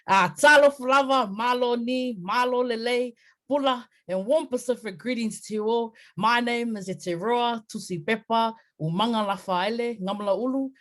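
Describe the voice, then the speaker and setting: A speaker introducing themself on a video-call panel, energetic and chatty with frequent fillers. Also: mid-range voice, moderate pace. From New Zealand. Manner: warm, energetic, many fillers. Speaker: software engineer, panelist. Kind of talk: casual self-introduction.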